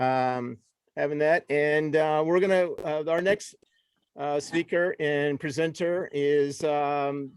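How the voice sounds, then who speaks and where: A man's voice: fairly high pitch, fairly bright tone, smooth, dry recording. A man hosting a virtual conference, rambling a little and using many fillers as he introduces speakers. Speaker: conference host. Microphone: video call.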